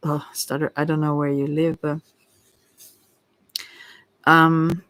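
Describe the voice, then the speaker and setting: A tarot card reader talking informally to listeners in a halting, rambling way, often stumbling over words. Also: medium pitch, flat delivery, fairly bright tone, rough recording.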